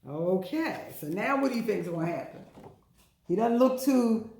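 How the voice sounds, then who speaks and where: A woman hosts storytime for little children in a very animated voice that swings up and down. She is playful and chatty and keeps asking her young listeners questions. The room around her is noticeably echoey. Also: medium pitch, normal speed, vocal fry, a little hiss or hum.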